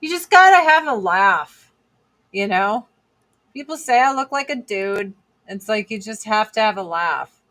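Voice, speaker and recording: A woman in her forties with a deep voice, chatting bluntly and profanely on a casual livestream while doing her makeup. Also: animated, a little slow, a bit bright. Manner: blunt, profane, sarcastic, reads chat comments aloud. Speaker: livestream host.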